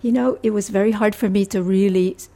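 An older woman from Rico, a family physician answering interview questions in a measured, thoughtful way. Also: mid-range voice, fairly even intonation, warm tone. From Puerto Rico. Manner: measured, thoughtful, with frequent restarts.

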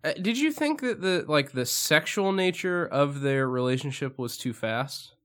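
A man co-hosting a casual movie review podcast, hoarse and losing his voice, talking in a rambling, hesitant way and laughing often. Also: a little high, expressive, normal speed, a bit bright. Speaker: podcast co-host.

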